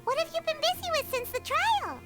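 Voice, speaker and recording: A woman voicing a small, chirpy, childlike fairy companion with a squeaky, bubbly delivery in an anime-style video game. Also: animated, brisk. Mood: cheerful. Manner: bubbly, speaks of herself by name. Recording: loud music over the voice. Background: playful game music.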